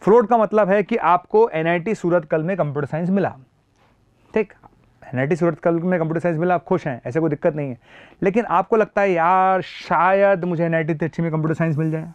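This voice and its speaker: A man's voice: on the high side, fairly quick, clear, resonant tone, no echo, highs cut off. A man with a sore throat explaining an admissions process as a teacher, repeating key points emphatically and mixing in phrases. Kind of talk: explanatory lesson.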